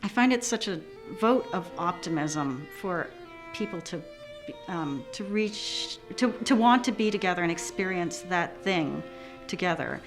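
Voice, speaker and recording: A woman's voice: on the low side, lively intonation, measured pace. A woman musician speaking reflectively in an interview, thoughtfully searching for her words. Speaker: orchestra musician. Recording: prominent music behind the voice. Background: string music.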